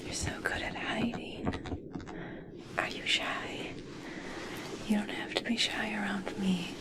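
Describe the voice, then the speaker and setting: A woman playing a gentle, obsessed scientist fascinated by merpeople in a whispered ASMR roleplay, speaking softly, slowly and soothingly. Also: mid-range voice, fairly even intonation, natural voice. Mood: obsessed, tender. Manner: soft, soothing, slow, coaxing.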